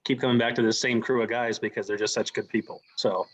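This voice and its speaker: A man in his forties from the United States, a guest on a call, telling his own story casually in a halting, self-deprecating way. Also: mid-range voice, flat delivery, low-quality recording. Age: 40s. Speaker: company president, guest speaker.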